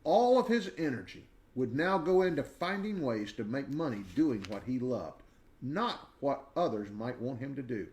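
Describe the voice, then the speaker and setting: A man reading a children's biography aloud in an expressive storybook style, with dramatic emphasis and deliberate pauses. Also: medium pitch.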